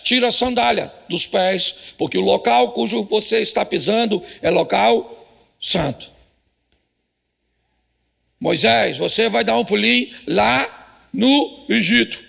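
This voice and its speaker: A Brazilian pastor voicing God in a sermon, giving solemn, emphatic commands to a prophet. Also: male voice, high voice, slow pace, vocal fry, a bit bright, long reverb tail, exaggerated voice. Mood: solemn, commanding.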